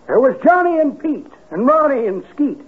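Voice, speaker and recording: A man's voice: extremely high pitch, big pitch swings, moderate pace. An actor playing a spry, nostalgic old man in a 1950s radio comedy, wisecracking in a folksy, colloquial way. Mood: jokingly reminiscing. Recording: muffled, narrow-band 1950s radio recording.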